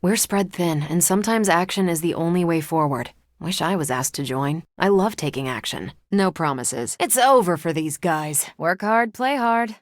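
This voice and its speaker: A woman playing a confident, wry and brisk superhero soldier in a video game, with a casual, assertive way of talking. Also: lowish voice, lively intonation, no room sound, lightly acted. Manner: casual, dry teasing, assertive. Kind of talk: video game character dialogue.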